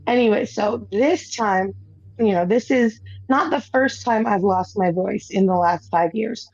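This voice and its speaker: Slow, rambling woman streamer, dull dry sound. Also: mid-range voice, darkish tone, no room sound. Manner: rambling storyteller, laughs often, restarts sentences. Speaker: streamer and show host. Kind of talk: casual chat while gaming.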